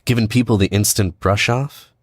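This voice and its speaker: A man playing a cocky, needling American spy in an audio drama, casual and clipped, with quiet menace. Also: fairly low pitch, steady tone, moderate pace, no echo, clean studio sound, lightly acted. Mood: cocky, teasing.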